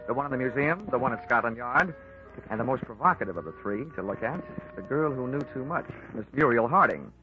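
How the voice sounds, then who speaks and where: An actor playing a dry, wisecracking American investigator who also narrates, in a 1940s radio drama. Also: male voice, medium pitch, expressive, brisk, natural voice. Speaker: narrator and lead. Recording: noisy lo-fi old radio recording.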